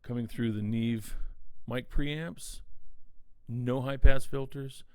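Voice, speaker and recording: A man's voice: mid-range voice, steady tone, takes it slowly, dark tone, clean tone, no room sound. A man reading a playful test sample into a tube condenser mic in a studio, five inches from it. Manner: playful, singsong test patter. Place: recording studio. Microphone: tube condenser mic, five inches away.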